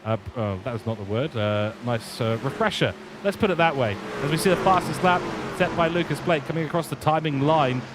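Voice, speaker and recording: British male race commentator, chatty live calls over clear engine noise. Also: on the high side, expressive, a little fast. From England. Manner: chatty, reads viewer chat aloud, many fillers. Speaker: sim racing commentator.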